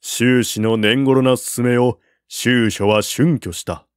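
A man narrating a classical war novel as an audiobook, in a measured, even storytelling manner. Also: medium pitch, no echo, clean studio sound.

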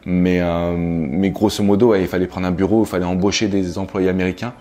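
A man's voice: low voice, little pitch movement, fairly quick, mellow. A man, talking casually as an interview guest. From France. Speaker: interview guest, coach and entrepreneur. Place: living room, on a sofa indoors. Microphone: clip-on lapel mic. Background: background music.

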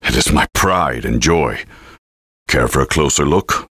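A man voicing a proud old male general and veteran mentor in a video game, gruff and commanding. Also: rumbling low, lively intonation, unhurried, a bit bright, no echo, studio quality, full character voice. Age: older. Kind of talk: game voice clips.

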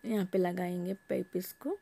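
A woman's voice: on the low side, moderate pace, vocal fry, warm tone, husky. A woman vlogger casually narrating an unboxing at home, explaining each step in a homely way. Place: home, table top.

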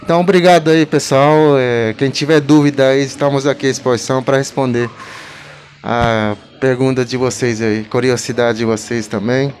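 Young male speaker, middle pitch, outdoor crowd, handheld mic with faint noise. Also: fairly even intonation, moderate pace, darkish tone. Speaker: community guest speaker. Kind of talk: casual address to a crowd. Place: outdoor park among trees.

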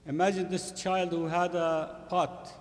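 A middle-aged man giving an earnest Friday sermon in a mosque, speaking in short, emphatic, halting phrases with repetition. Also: high voice, level tone. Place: mosque prayer hall, indoors. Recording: long hall reverb from room speakers.

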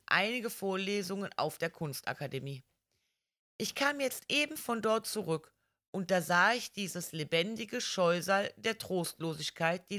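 A woman narrates a classic crime story in a medium-pitched voice. She reads from a script as an audiobook narrator, with measured, expressive delivery.

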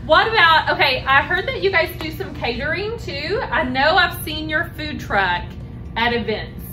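A woman's voice: sits high, big pitch swings, normal speed, pushed and bright. An American woman in her thirties hosting an upbeat promotional interview with gushing enthusiasm. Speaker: host, realtor. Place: indoors at a restaurant bar. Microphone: camera a few feet away. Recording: distant mic, music behind. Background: music.

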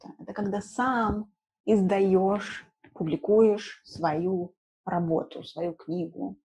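A woman's voice: mid-range voice, steady tone, slow, dark tone, husky, low-quality recording. A woman in her thirties teaching an informal lesson in simple words, repeating key words and adding short check questions. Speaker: language teacher. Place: small room indoors at home.

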